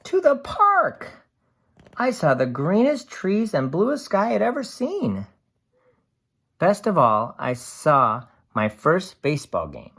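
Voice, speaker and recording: Storybook aluminum can, acted by an adult reader: cheerful, wide-eyed, unhurried, with very animated pitch. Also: exaggerated voice. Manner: bubbly, wide-eyed, expressive storybook delivery. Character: cheerful, excitable aluminum can writing diary entries.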